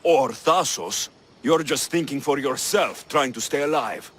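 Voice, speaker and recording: An actor voicing a bold, wry, commanding Greek mercenary captain in a video game. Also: male voice, mid-range voice, unhurried, fairly bright tone, a little hiss or hum, lightly acted. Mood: stern, skeptical. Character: bold, flirtatious, stern male Greek mercenary ship captain. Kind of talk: video game character acting.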